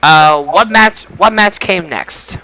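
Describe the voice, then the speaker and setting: A man's voice: sits high, slow pace, gravelly, bright, forceful tone. A man hosting a casual sports results discussion on a podcast. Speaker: podcast host.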